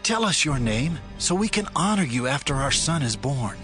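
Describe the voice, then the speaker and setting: A man's voice: a little high, big pitch swings, moderate pace, pushed and bright, faint music behind, pitched above the performer's own voice. A man voicing Manoah, an earnest, anxious, devout father, in a dramatized Bible reading.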